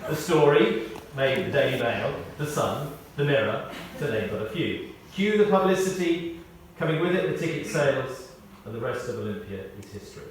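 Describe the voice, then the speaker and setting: A British man reads a scripted memorial tribute as a eulogist, telling anecdotes in a measured way with pauses and emphasis. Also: medium pitch, expressive. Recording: very echoey, distant mic, faint noise.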